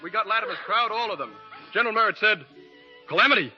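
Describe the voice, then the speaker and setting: A brisk frontier scout who speaks with urgency. His voice sits high. The sound is muffled, with faint music behind it. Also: lively intonation. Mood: urgent. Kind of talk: 1930s radio drama acting. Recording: muffled, distant 1930s radio broadcast.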